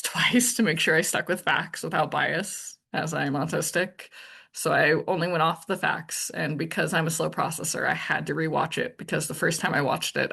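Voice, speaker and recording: A woman talks to her viewers in an even, monotone voice, blunt and direct. Her tone carries gravel and a slightly breathy husk. She thinks aloud, circling back and restarting as she goes. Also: low-pitched. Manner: blunt and to the point. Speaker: channel host. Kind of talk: casual true-crime commentary monologue.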